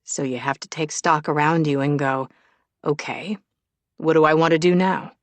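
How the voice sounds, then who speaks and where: A patient, gently wry man, voiced by a female audiobook reader, speaks in a low register with a calm, reassuring manner. The sound is dry and close, suited to romance fiction. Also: moderate pace, dry recording, close to an everyday voice. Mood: sympathetic, earnest. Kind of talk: audiobook character dialogue.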